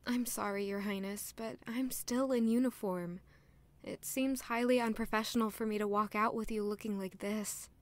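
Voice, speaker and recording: A woman's voice: medium pitch, fairly even intonation, normal speed, natural voice. An actress playing a polite, anxious young woman in royal service in an audio drama, earnest and a little hesitant. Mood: apologetic. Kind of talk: scripted audio drama acting.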